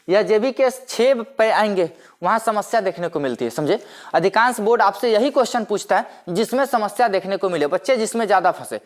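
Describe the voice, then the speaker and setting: A man giving a maths lecture as a teacher, explaining step by step, repeating himself and posing rhetorical questions. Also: high-pitched. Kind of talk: step-by-step classroom-style lecture.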